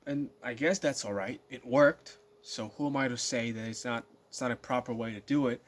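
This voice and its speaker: A man's voice: mid-range voice, moderate pace. Rambling nineteen-year-old man vlogging. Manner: rambling, many fillers and repeated restarts. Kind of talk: casual spoken monologue to viewers.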